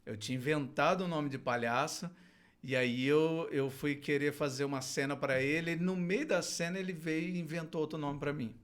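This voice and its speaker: A man's voice: a little high, steady tone, normal speed, fairly bright tone. Chatty Brazilian man telling his story. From Brazil, Londrina. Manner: hesitant, many restarts and fillers, laughs easily. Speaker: guest, clown and theater teacher. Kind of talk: casual storytelling interview.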